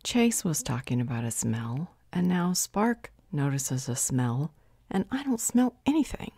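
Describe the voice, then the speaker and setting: A woman's voice: sits low, sing-song, unhurried, warm tone, breathy edge, no echo, clean studio sound, full character voice. A woman playing a serious, worried werewolf in a fantasy audio roleplay, with an earnest manner. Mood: anxious, frustrated.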